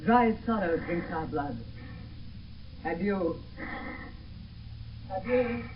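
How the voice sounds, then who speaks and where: An actor playing a devoted, sorrowful young lover, soft and lyrical, in classical Shakespearean radio drama. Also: male voice, high voice, animated, drawn-out words, full character voice. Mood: tender, sorrowful. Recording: distant, muffled, noisy old radio broadcast.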